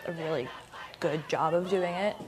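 A woman's voice: low voice, moderate pace, background noise. A woman interviewer chatting casually, saying "like" a lot, in a played-back interview clip. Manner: casual, uses "like" often.

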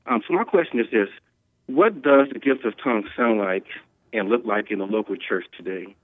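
Low-voiced man on a tinny phone line. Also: normal speed, no echo. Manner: earnest, searching, a few restarts. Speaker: caller. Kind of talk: phone-in question.

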